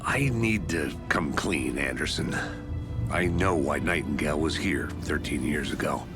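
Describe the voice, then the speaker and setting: Game detective, extremely low voice, measured, deadpan, guarded, over clear music. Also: male voice. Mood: grave, confiding. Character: weary, guarded male detective confessing past cases. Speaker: FBI detective. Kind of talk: cinematic video game dialogue. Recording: music under the voice.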